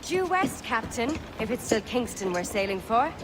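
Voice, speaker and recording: An actress playing a bold female pirate quartermaster, calling out orders loudly in a video game cutscene. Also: on the high side, sing-song, normal speed, music in the background. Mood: commanding.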